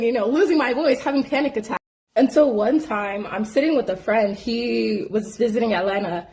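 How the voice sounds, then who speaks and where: A woman hosting her own show, casually telling a personal story in slangy speech full of "like". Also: a little high. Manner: slangy, frequent "like" fillers, animated. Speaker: host.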